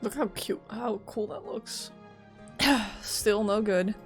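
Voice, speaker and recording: A woman's voice: mid-range voice, slow, over music. Chatty woman reacting to gameplay. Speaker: gaming streamer. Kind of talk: casual gameplay commentary.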